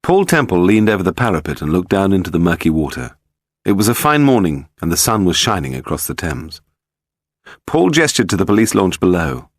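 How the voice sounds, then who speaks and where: A man's voice: low voice, big pitch swings, brisk, no room sound, studio quality. A man reading scripted narration of a crime story as an audiobook narrator.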